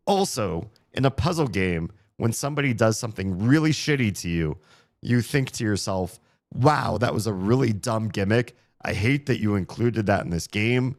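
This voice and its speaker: A man streaming a puzzle game, grumbling sarcastically about the puzzles while his voice is going hoarse. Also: on the low side, dry recording, studio quality. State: losing his voice. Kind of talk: casual live-stream commentary.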